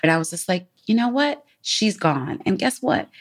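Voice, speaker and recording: A woman's voice: mid-range voice, sing-song, normal speed. A woman co-hosting a podcast, telling personal stories in a casual, informal way with colloquial filler phrases. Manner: informal, frequent "like" and "you know what I'm saying". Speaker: podcast co-host. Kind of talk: candid personal storytelling, casual chat.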